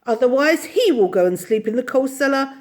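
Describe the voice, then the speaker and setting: A composed storybook nanny, voiced by a woman reader. She speaks firmly and insistently in a high, lively voice, stating things as plain fact. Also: brisk. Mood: calmly insistent. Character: composed, firm nanny calmly translating for a dog.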